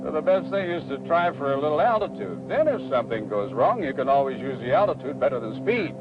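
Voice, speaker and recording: A patient, experienced male flight instructor played by an actor in an old training film. His voice sits fairly high and he explains methodically, with crisp commands. The soundtrack is dull, with loud music and engine drone. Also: big pitch swings, fast talker. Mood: explaining. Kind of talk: 1960s training film dramatic dialogue.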